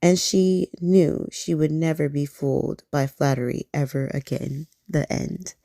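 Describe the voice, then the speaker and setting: Low, gravelly bedtime storyteller woman. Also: unhurried, no room sound, studio quality. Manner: soft, gentle and soothing.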